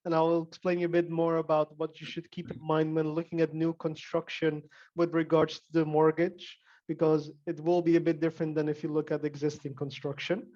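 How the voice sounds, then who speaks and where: A man's voice: fairly high pitch, monotone, fairly quick, warm tone. A young man introducing himself as a mortgage consultant in a friendly, self-joking way. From Netherlands. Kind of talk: casual introduction. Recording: muffled call audio.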